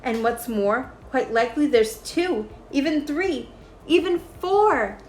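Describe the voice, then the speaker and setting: A woman's voice: high-pitched, animated, slow, a little mellow, echoey room, slight background noise, rough recording. A woman voicing an earnest, kindly male elephant from a storybook, speaking in an emphatic, pleading way.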